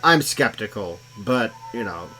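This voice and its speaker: A man's voice: lowish voice, a little slow, soft background music, low-quality recording. A man hosting a reaction series, commenting casually with jokes and exclamations. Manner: joking, exclamatory, animated. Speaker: host of an audiobook reaction series.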